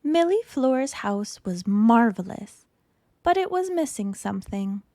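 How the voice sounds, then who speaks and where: A woman reading a children's picture book aloud in an expressive, deliberate storytelling manner. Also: fairly high pitch, no echo, studio quality. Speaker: storytime reader.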